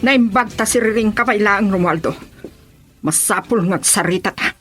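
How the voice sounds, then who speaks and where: A woman's voice: mid-range voice, normal speed, a bit bright, slightly rough, faint music behind. A woman playing a stern, suspicious, domineering middle-aged mother in an Ilocano radio drama, with an insistent, scolding manner. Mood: firm, brooding.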